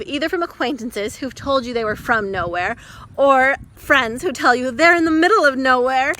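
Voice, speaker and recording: A woman acting as a perky travel advert announcer in a comic monologue. Her voice is high and very bright, and she delivers the scripted sales pitch with upbeat persuasion. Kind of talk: parody commercial monologue, scripted.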